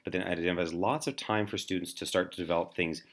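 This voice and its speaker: Low-voiced male school administrator, earnest presenter, stumbles and restarts often. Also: fairly even intonation, fast talker. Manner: earnest, many fillers and restarts. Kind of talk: presentation to parents.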